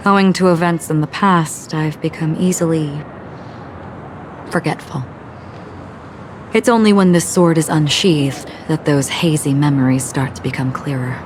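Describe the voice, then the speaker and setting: An actress voicing a calm, forgetful young swordswoman in a game, speaking in a measured, solemn way over game music. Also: slight background noise, natural voice. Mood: quiet, wistful. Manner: measured, low, solemn delivery. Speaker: wandering swordswoman. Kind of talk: game voice acting. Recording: game audio with clear music behind.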